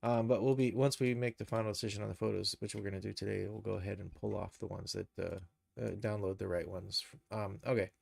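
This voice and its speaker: A man hosting a casual, unscripted software tutorial, thinking aloud as he explains each step. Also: fairly low pitch, fairly even intonation, quick pace, creaky, no echo. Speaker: live stream tutorial host, game and cartoon developer.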